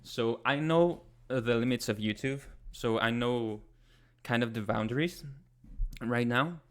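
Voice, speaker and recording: A young man from Chile with a Chilean Spanish accent, hosting a casual livestream and answering chat in a soft, hesitant way with many pauses. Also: medium pitch. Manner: soft-spoken, hesitant, many ums and restarts. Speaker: ASMR channel host. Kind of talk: casual livestream chat, reading viewer comments.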